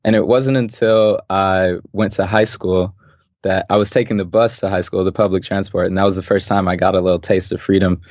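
A young man from Los Angeles, a podcast guest and designer, talking thoughtfully and calmly in a relaxed interview and laughing easily. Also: low-pitched, brisk, dry recording. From Los Angeles, USA. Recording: dull, dry podcast audio.

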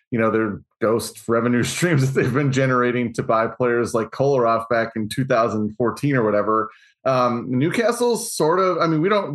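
Male podcast co-host, casual and halting, restarts a lot, laughs through lines, self-mocking. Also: mid-range voice. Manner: halting, many restarts, laughs while talking. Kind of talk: casual sports chat.

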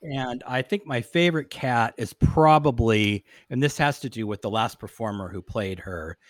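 A man talking as a guest in a casual podcast interview, animated and halting, often starting a phrase over. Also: medium pitch, no echo.

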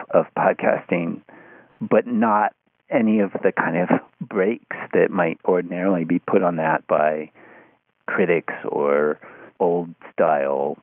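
A man speaking as an interview guest in a thoughtful, halting way, often restarting his sentences. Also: low voice, no echo. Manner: hesitant, halting, with repeated false starts. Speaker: podcast guest, media critic. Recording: phone-like, thin band-limited line.

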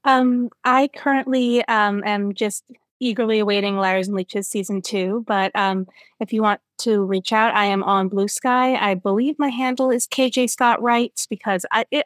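A woman's voice: medium pitch, no echo. Woman chatting as a podcast guest, dry sound. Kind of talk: casual interview conversation.

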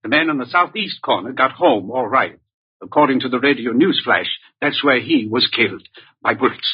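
Very raspy, haughty, frightened rich man. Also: mid-range voice, expressive, moderate pace. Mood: grave. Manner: stiff, formal, self-important. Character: wealthy, haughty, frightened male client in danger. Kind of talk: old-time radio drama acting. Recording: muffled vintage radio drama recording.

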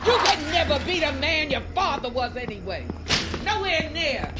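A woman's voice: sits high, sing-song, normal speed. An actress playing a volatile, abusive mother in an audio drama, swinging between soothing and scorn. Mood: venomous contempt. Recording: loud music over voice.

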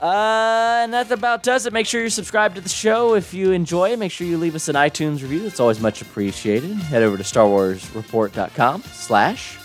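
Slightly hoarse young man hosting a podcast. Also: sits high, animated, normal speed, few pauses, faint music behind. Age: young adult. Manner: rambling, upbeat, many fillers and restarts. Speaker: podcast host. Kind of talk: casual live podcast sign-off.

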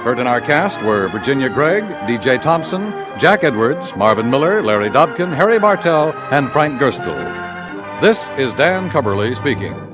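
A man's voice: mid-range voice, very animated, normal speed, music in the background, poor audio. A man announcing scripted credits in formal, projected 1950s radio style on a vintage broadcast.

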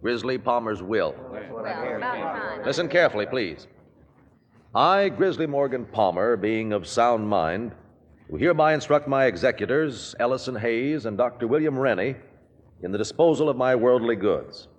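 An actor playing a smooth, controlling lawyer in a 1940s radio drama, speaking in a measured, formal and persuasive way. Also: male voice, medium pitch, animated, lo-fi sound, natural voice. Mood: dry, ceremonious. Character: smooth, controlling, scheming lawyer, adult man. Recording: vintage radio, long reverberant tail.

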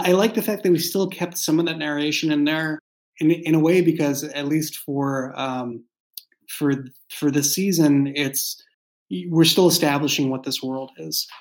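Thoughtful man, halting as he talks. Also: on the high side, fairly even intonation. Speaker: writer and creator of an audio drama. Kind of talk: casual behind-the-scenes discussion.

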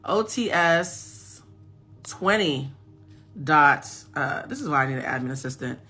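A woman's voice: low voice, lively intonation, unhurried, pushed and bright. A woman in her forties hosting a casual live stream, talking emphatically to viewers and reading out their comments. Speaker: host of a live stream and self-mastery coach. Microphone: phone.

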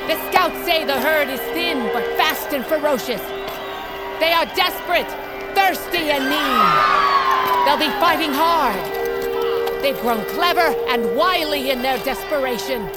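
Fierce high-pitched woman war chief. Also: a little slow. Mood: commanding, rousing. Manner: declamatory, forceful. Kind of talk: dramatic audio drama oratory. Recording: loud music and crowd behind.

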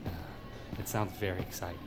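An actor playing a hesitant, unimpressed call operator speaking in a halting way in an audio drama. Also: male voice, sits low, flat delivery, music in the background, natural voice.

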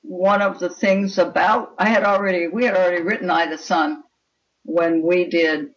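A woman's voice: fairly low pitch, fairly even intonation, normal speed. A woman speaking as a guest author in an interview, talking about writing fiction in an opinionated, emphatic way. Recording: dull, lo-fi call audio.

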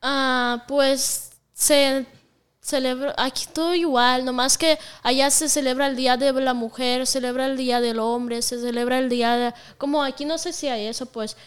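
A woman's voice: high-pitched, fairly even intonation, normal speed, smooth. A young woman from Russia, a native Russian speaker, chatting frankly as an interview guest with lots of fillers. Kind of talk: casual interview chat.

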